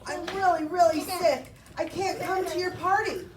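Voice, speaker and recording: A woman's voice: very high-pitched, normal speed, pitched above the performer's own voice. A woman voicing a sickly but defiant queen in a puppet show, making whiny excuses. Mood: feeble. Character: sick, defiant queen, weary of her husband. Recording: roomy, distant mic, faint noise.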